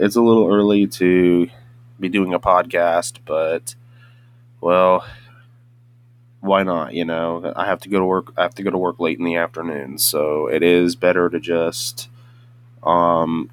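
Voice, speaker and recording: A young man with a very low voice talks through a solo podcast episode. He sounds hoarse and congested, as if he has just woken up. He rambles, restarting sentences and filling gaps with ums and uhs. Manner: rambling, with many restarts and hesitant fillers. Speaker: podcast host. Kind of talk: casual solo podcast monologue.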